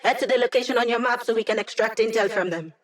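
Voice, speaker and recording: A woman's voice: on the high side, close to an everyday voice. An actress voicing a witty young female hacker in a video game, delivering sly, teasing quips to her team. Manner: wry, teasing, quick quips. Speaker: hacker support character.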